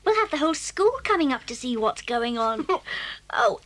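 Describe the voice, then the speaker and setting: A woman playing a bossy, excitable English boarding-school girl with jolly slang in a children's audio drama. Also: high voice, normal speed, noisy background. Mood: playful scolding. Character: bossy, excitable schoolgirl planning a secret party.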